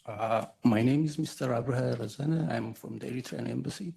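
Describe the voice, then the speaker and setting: Man, extreme vocal fry, husky, formal rebuttal, deliberate, disdainful. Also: medium pitch, dark tone. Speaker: embassy representative. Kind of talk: formal rebuttal at a political roundtable.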